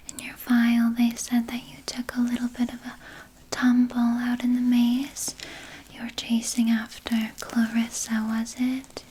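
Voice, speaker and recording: A woman playing a sinister, doting vampire nurse in an ASMR roleplay, speaking in a breathy, coy, soothing whisper. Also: fairly high pitch, a little mellow, exaggerated voice. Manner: slow, coy, soothing, with murmured asides. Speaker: ASMR performer.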